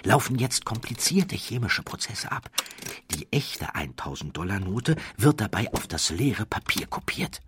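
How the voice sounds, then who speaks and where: A man voicing a smooth, persuasive swindler count in an audiobook, speaking in a confiding, deliberate manner. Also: lowish voice, very animated, noisy background. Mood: hushed, secretive. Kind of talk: audiobook character voice.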